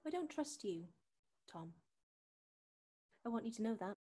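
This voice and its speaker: A woman's voice: fairly high pitch, sing-song, unhurried, dark tone, slightly rough, heavily acted. A woman acting in a drama scene as a worried, determined sister, insistent and scornful, with a British accent. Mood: guarded, suspicious. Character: worried, determined sister confronting a drug dealer. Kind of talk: acted scene from a drama showcase.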